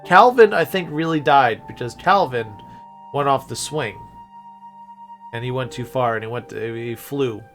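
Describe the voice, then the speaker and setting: Slow-paced man streaming over faint music. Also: mid-range voice, a bit bright. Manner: musing aloud, halting, exclaiming. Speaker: game streamer. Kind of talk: casual livestream commentary.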